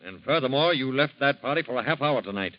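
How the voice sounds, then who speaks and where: An actor playing a blunt, hard-nosed police captain who talks in curt commands in a 1940s radio drama. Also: male voice, medium pitch, expressive, fast, dry recording, close to an everyday voice. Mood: stern. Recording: muffled old radio recording.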